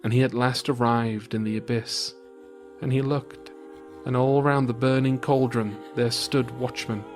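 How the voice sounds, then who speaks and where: A man reading a scripted folk tale as a storyteller, measured and old-fashioned. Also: medium pitch, steady tone. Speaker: storyteller, podcast host. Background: music.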